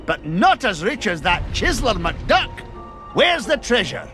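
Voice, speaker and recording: An actor playing a greedy, gloating duck villain in a cartoon game, blustering and gravelly. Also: male voice, high voice, very animated, a little slow, music in the background.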